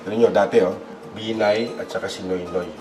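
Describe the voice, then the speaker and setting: A man acts out a vain Filipino politician who badly wants the presidency. He speaks in a halting, colloquial way, always fishing for approval, as in a satirical comedy sketch. Guitar music plays clearly behind him. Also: fairly low pitch, fairly even intonation, close to an everyday voice, rough recording. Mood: eager, scheming. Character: vain, eager mustachioed politician hoping to become president.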